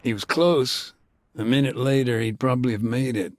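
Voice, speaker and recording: An actor playing a weary male aid worker who recounts events in a measured, laconic way during an interview in a video game. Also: mid-range voice, steady tone, slight background noise, natural voice. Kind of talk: game cutscene dialogue.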